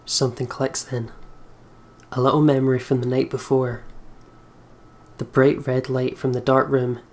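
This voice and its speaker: Man narrating with fairly flat intonation. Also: medium pitch, normal speed. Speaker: let's player. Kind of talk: reading visual novel narration aloud.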